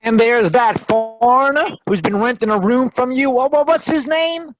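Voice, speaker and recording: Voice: medium pitch, normal speed, noisy background, natural voice. A performer playing an eager, gung-ho boyish young male deputy in an old-time radio drama.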